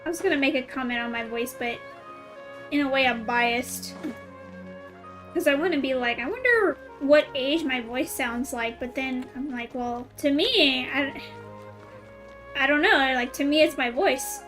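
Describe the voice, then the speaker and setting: Woman streamer, high voice, rambling casual commentary, faint game music behind. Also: measured pace, fairly bright tone, rough recording. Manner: rambling, chatty, self-conscious. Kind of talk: casual let's play commentary.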